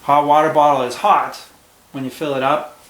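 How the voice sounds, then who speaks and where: A man's voice: on the high side, level tone, moderate pace, a bit bright, echoey room, rough recording. A man teaching at home, explaining a storybook lesson to a child patiently and step by step. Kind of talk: casual classroom discussion.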